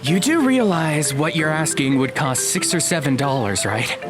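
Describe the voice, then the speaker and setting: A woman's voice: low-pitched, expressive, normal speed, over music. A woman playing a sceptical, responsible teenage boy with a reluctant, chiding manner in an audio drama. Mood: reluctant, firm.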